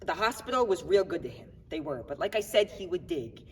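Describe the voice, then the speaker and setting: A young man performing a dramatic monologue as a defiant, grieving baker, agitated and wry, with halting, streetwise talk. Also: sits high, animated, breathy edge. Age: young adult. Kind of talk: dramatic monologue at a speech contest. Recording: echoey hall, recorded from far off.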